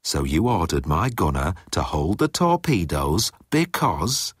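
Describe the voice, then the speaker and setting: A man's voice: sits low, sing-song, unhurried, slightly rough, no echo, clean studio sound, exaggerated voice. A man voicing a pompous, menacing alien commander who speaks with pedantic deliberation in an audiobook. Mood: cold.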